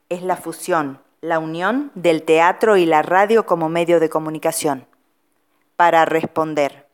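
A woman's voice: low voice, smooth. A woman with an Argentine accent presenting an educational audio lesson like a teacher, putting rhetorical questions straight to the listeners. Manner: didactic, addresses listeners directly.

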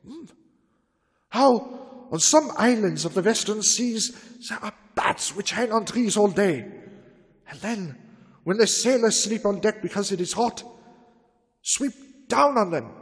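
An actor playing a stern elderly doctor who hunts vampires, speaking in a grave, urgent, lecturing manner. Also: male voice, sits high, animated, unhurried, pauses often, slightly rough, big-room sound, exaggerated voice. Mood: urging. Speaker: radio drama actor. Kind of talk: old-time radio drama acting.

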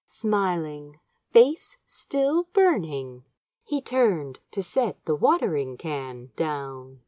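A woman's voice: low-pitched, sing-song, extremely slow, a little mellow, dry recording. A woman reading fiction aloud as an amateur audiobook narrator, with tender, dramatic storytelling. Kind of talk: expressive fan fiction narration.

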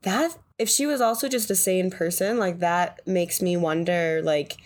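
A woman's voice: on the low side, a little slow. A woman co-hosting a casual podcast chat, thinking aloud and often murmuring agreement. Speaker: podcast co-host.

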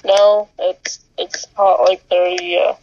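A man's voice: high-pitched, little pitch movement, takes it slowly, a little mellow, a little hiss or hum. A young man in a casual chat among friends who sounds distracted and hesitant. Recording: dull lo-fi sound.

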